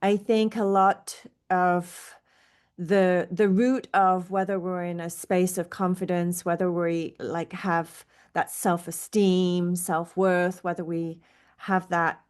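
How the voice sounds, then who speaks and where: A woman's voice: on the low side, level tone, slow pace, clear, resonant tone. A woman co-hosting a casual podcast as a spiritual teacher, bubbly and chatty with laughs and restarts.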